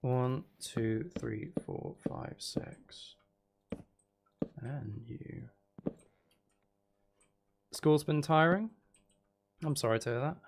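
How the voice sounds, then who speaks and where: Male game streamer, mid-pitched and gravelly with fry, casual, self-deprecating, muttering to himself; faint noise and clicking behind. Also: very slow, pauses often. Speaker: live streamer playing a building game. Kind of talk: casual chat with viewers while gaming.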